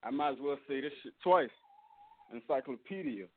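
A man's voice: mid-range voice, lively intonation, moderate pace. A man from Virginia calling in to a radio show, chatting and then rapping a freestyle. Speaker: caller, rapper. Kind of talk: freestyle rap over a call. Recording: dry phone-line audio.

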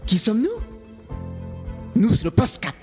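A man's voice: high voice, expressive, normal speed, a little mellow, soft background music. A man co-hosting a comic podcast, narrating its intro theatrically. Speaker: podcast co-host.

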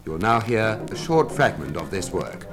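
A man giving a formal, measured scripted narration as an announcer, recorded on a 1950s radio transcription disc. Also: on the low side, very animated, over loud music. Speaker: announcer of a classical music demonstration record.

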